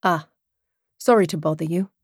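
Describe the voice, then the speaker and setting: A woman voicing a polite, flirtatious British man in an audiobook, courteous and softly teasing. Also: on the low side, moderate pace, husky, dry recording, studio quality. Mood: apologetic, polite.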